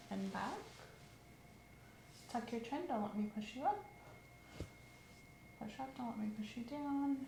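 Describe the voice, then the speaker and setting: A woman hosting an ASMR physical exam roleplay, giving calm, step-by-step instructions in a soft voice. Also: mid-range voice. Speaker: ASMR host performing an exam. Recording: roomy with faint hiss.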